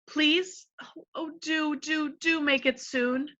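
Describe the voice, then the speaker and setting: A woman voicing an eager young girl who pleads urgently and breathlessly, higher and louder than her own voice. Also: fairly even intonation, slow, highs cut off, full character voice. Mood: pleading.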